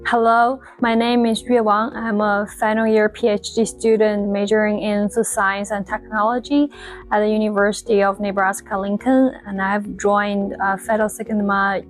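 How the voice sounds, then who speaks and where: Flat-toned woman with middling pitch. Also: moderate pace, faint music behind. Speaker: PhD student. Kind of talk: self-introduction.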